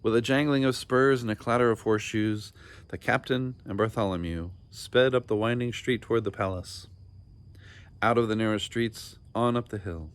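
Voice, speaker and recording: A man narrating a children's storybook in an expressive, deliberate and dramatic storytelling style. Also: on the low side, clean tone. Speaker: storybook reader.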